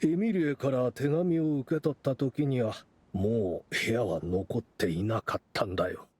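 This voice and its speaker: An actor playing a courteous, measured middle-aged gentleman official in an anime game. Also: mid-range voice, slightly rough, dry recording, natural voice. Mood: apologetic.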